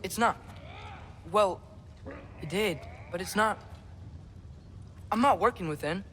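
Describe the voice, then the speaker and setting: A man's voice: sits high, measured pace, sharp tone, slight background noise. A young man playing an earnest, guarded teenage boy hero in a video game, hesitant and stammering when flustered. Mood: flustered, defensive. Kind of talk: naturalistic video game acting.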